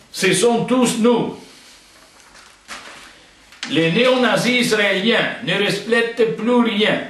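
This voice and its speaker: A man's voice: high-pitched, a bit bright. An older man who grew up speaking Italian, with an Italian accent, bluntly and indignantly reading out an open letter. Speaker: video letter writer. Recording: echoey, distant from the mic.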